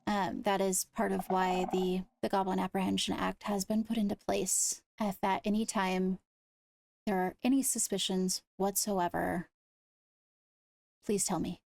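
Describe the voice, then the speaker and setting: A woman speaking in improvised roleplay, leading a meeting in a measured, deliberative way. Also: medium pitch, little pitch movement, vocal fry, darkish tone, dry recording. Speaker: role-player leading a court meeting. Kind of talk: improvised in-character roleplay talk.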